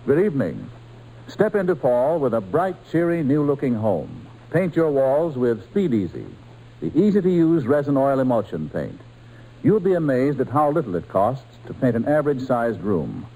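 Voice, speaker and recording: Male commercial announcer, 1940s radio style, dark relaxed timbre, middle pitch, animated, polished. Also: moderate pace, rough recording. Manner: polished, salesmanlike. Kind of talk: 1940s radio advertising read.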